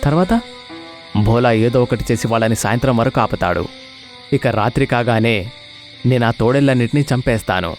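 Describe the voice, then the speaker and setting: A man acts out a ghostly male lion king in an animated tale. He is solemn and commanding, a vengeful schemer in a middle-pitched voice. Music plays clearly underneath. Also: fairly quick, pauses often, natural voice. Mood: scheming. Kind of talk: animated story dialogue.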